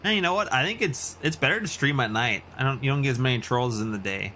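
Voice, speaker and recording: A man's voice: mid-range voice, lively intonation, quick pace, bright, forceful tone, a little hiss or hum. A Canadian man chatting casually and in a rambling way as he hosts a video game livestream and responds to his chat. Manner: rambling, reacting to chat messages.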